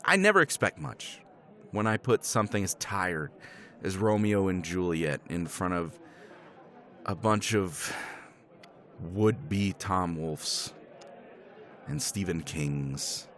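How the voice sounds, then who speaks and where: A man's voice: low-pitched, expressive, slow pace, pauses often, a bit bright, full character voice. A man playing a tipsy middle-aged professor in a romance roleplay, rambling with chuckles and casual swearing. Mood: persuasive. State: tipsy from scotch. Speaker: roleplay character.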